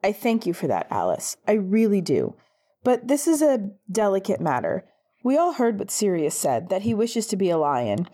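A woman reading a composed female agent: measured, formal, warm-toned, animated pitch. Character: poised middle-aged female sports agent, calm and firm.

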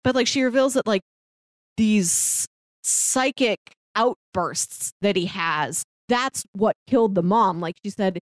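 A woman's voice: mid-range voice, takes it slowly, dry recording. Chatty woman podcaster, close dry sound. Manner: chatty, laughs often while talking. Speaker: podcast co-host. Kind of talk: casual film discussion.

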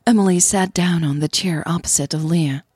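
A woman's voice: fairly low pitch, moderate pace, darkish tone, slightly rough, dry recording, clean studio sound. A woman narrating scripted romantic fiction as a storyteller.

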